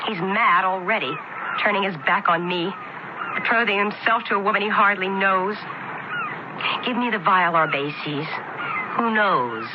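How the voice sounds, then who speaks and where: Jealous young Roman lady played by a woman, medium pitch, imperious, radio drama, noisy dull recording. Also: measured pace, long pauses, natural voice. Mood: scornful, plotting. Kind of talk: old-time radio drama acting.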